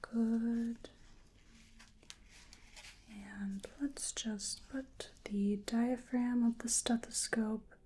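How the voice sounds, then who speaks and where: Woman doing a bookish creature researcher for asmr roleplay, super slow n gentle, dark soft whispery voice. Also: fairly high pitch, fairly even intonation, full character voice. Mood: musing, methodical. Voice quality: breathy, largely whispered. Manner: gentle, polite, unhurried, musing to herself. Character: bookish, polite female cryptid researcher examining a creature. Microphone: close microphone.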